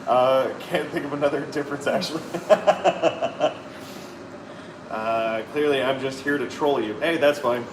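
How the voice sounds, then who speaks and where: A man's voice: mid-range voice, a little slow, roomy sound, distant mic, noisy background. A man in his thirties chatting casually and playfully on a live stream, reading viewer comments aloud. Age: 30s. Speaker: co-host reading live chat.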